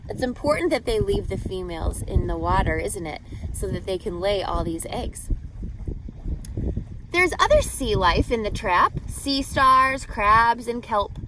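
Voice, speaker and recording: A woman reading a picture book aloud to children as a teacher, expressively, pausing to ask guiding questions and explain. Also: fairly high pitch, sharp tone, slight background noise, low-quality recording.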